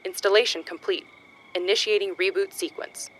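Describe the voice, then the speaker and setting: A woman's voice: medium pitch, fairly even intonation, moderate pace, a bit bright, a little hiss or hum, natural voice, rough recording. A woman voicing an impersonal computer system making announcements in an audio drama. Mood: neutral, procedural.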